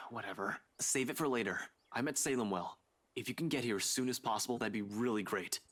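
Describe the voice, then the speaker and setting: A man's voice: mid-range voice, brisk, a bit bright, natural voice. An actor playing a serious, impatient but protective young man in a visual novel. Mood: terse.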